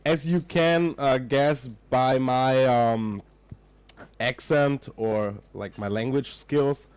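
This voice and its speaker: Slow-talking Austrian poker commentator. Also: male voice, mid-range voice, a little mellow, smooth. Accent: Austrian German. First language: German. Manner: chatty, greets viewers, searches for English words. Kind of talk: live poker commentary with chat.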